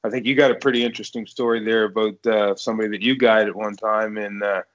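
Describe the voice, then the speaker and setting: A man's voice: fairly low pitch, fairly even intonation, fast, a bit bright. Man, casual podcast host. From Canada. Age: around 40. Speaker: podcast host and fishing guide. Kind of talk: casual interview chat. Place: indoor room, remote video call.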